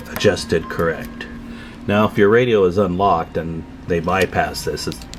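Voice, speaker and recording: A man giving a casual hands-on repair tutorial, talking informally and haltingly as he thinks aloud while working. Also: deep voice. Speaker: video host demonstrating CB radio tune-up.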